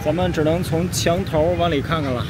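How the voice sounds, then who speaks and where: A man's voice: fairly high pitch, a little fast, over a noisy crowd. Chatty man narrating over street traffic. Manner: chatty, wry running remarks to camera. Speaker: travel vlogger. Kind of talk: casual walking commentary. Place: busy city street outdoors. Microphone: handheld camera carried while walking. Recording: street traffic noise behind. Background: traffic and motorbike noise.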